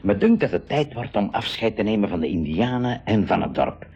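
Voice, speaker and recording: A hearty uncle heading a jungle expedition in a 1980s radio play. His low voice swings widely in pitch. He is exclamatory, decisive and polite. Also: very animated, fairly quick, mellow, husky, dull audio, faint music behind, full character voice. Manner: exclamatory, avuncular, takes charge.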